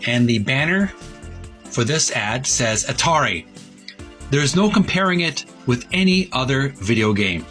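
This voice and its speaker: A man hosting a retro gaming video, talking casually in a halting, wordy way, rephrasing himself as he shows and reads printed material. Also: mid-range voice, expressive, a bit bright, soft background music. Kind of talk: casual narrated show-and-tell, reading printed ad copy aloud.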